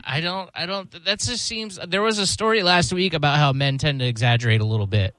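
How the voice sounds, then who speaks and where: A man's voice: fairly high pitch, fairly quick, fairly bright tone, dry recording, low-quality recording. Easygoing man co-host, dry close sound. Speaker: radio co-host. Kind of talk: casual radio banter.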